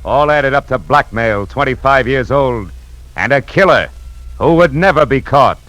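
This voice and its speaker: A man's voice: medium pitch, animated, a little slow, fairly bright tone, poor audio. A male actor playing a world-weary private detective with an American accent, narrating tersely in a noir radio drama. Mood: urgent, ominous. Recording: old radio broadcast, music behind.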